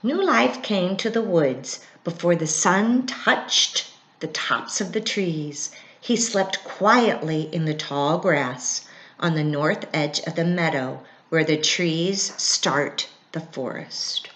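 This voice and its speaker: Bright-voiced woman reading slowly in an echoey room. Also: low voice, lively intonation. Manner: teacherly, pausing to explain words and ideas. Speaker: children's book reader. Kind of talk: read-aloud storytelling with informal explanations to children. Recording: echoey, roomy sound.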